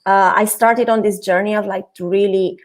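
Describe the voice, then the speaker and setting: Female guest, thirties, from Romania, mid pitch, open and chatty on a video call. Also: steady tone, normal speed. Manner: open, chatty, laughs lightly, many fillers. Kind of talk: casual interview talk.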